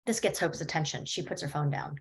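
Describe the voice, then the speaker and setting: A woman reading stage directions at a table read in a plain, even way. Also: deep voice, fairly quick, vocal fry.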